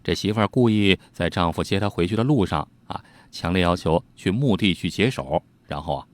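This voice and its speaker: A man telling an old crime tale in an animated, conversational storytelling style, with spoken asides. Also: deep voice, brisk. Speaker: storyteller host.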